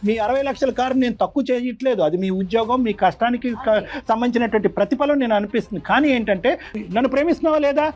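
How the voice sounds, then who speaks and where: A man's voice: very high voice, racing. A man co-hosting a talk show as counsellor, speaking conversationally and mixing in some English. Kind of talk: conversational advice with English mixed in.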